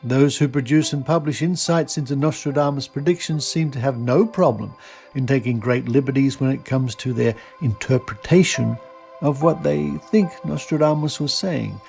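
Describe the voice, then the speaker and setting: Man narrating, slightly creaky voice. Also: medium pitch, moderate pace, a little mellow, soft background music. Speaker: podcast host. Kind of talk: scripted documentary-style narration.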